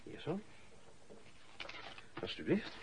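An actor playing a chatty, prying office clerk in a Dutch radio drama, hesitant and easily flustered. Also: male voice, slow pace, slight background noise, lightly acted. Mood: nosy, casual. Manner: hesitant, hedging, full of ehs.

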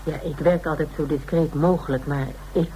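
A faintly noisy radio play recording of a woman acting a private detective. Her voice is on the low side, and she is blunt, businesslike and insistent, never rattled. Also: fairly even intonation, normal speed, warm tone, close to an everyday voice. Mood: cool, insistent. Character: Tera, blunt, probing, unflappable female private detective.

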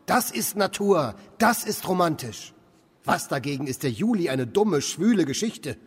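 An actor playing an idle, bemused drifter who mutters and exclaims to himself in a German radio play. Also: male voice, a little high, very animated, unhurried, breathy edge, no echo, exaggerated voice. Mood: musing, exasperated. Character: idle male drifter, musing, irritable, bemused.

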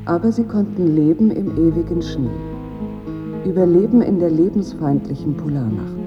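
A woman playing a grave storyteller in a radio play, delivering poetic narration with deliberate phrasing. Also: lowish voice, natural voice. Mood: solemn. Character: solemn female storyteller telling a bleak legend. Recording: dull radio recording with guitar music.

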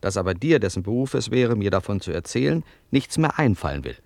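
Faultfinding listener: low, creaky, critical and challenging, dry studio radio drama. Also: male voice, big pitch swings, brisk, darkish tone, dry recording. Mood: skeptical. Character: skeptical, faultfinding listener interrupting the storyteller. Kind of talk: German radio play acting.

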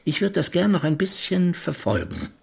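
An actor playing a middle-aged senior police inspector in a German radio crime drama, polite, measured and gently ironic. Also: male voice, fairly high pitch, sing-song, gravelly, husky, heavily acted. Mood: wry. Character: senior police inspector, courteous, wry and probing. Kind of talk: German radio play acting.

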